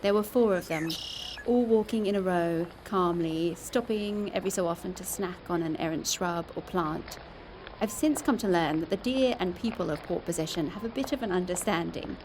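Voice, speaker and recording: A woman's voice: lowish voice, normal speed, background noise, close to an everyday voice. A woman playing a curious, candid podcast reporter in an audio drama, conversational and dryly observant. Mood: reflective. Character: curious, candid female podcast reporter narrating her investigation. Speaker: reporter and narrator. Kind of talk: scripted first-person podcast narration.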